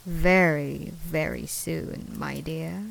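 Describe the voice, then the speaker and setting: An obsessive, jilted ex-girlfriend, acted by a woman in an ASMR roleplay. She speaks extremely slowly, in a lowish voice thick with gravelly fry. Every phrase is drawn out into an intimate, possessive threat. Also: fairly even intonation, noisy background, exaggerated voice. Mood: wounded, threatening. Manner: slow, menacing, drawn-out taunting with dark chuckles and whispers. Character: obsessive, menacing jilted ex-girlfriend hunting her beloved.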